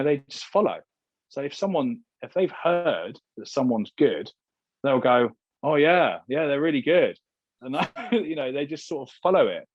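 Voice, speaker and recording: British male radio presenter as interview guest, husky voice, candid casual chat, slightly dull roomy-free sound. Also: mid-range voice. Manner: chatty, candid, emphatic, acts out imagined remarks.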